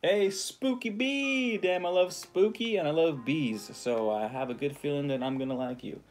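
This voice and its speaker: A man's voice: fairly high pitch, normal speed, faint music behind. A man from California hosting a casual livestream, rambling in a laid-back way with frequent ums and greeting viewers by name. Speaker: livestream host. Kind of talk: casual livestream chat reading viewer comments.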